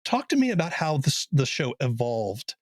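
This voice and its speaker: A man's voice: medium pitch, very animated, normal speed, dry recording. A man hosting an interview in a conversational style. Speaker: interviewer, podcast host.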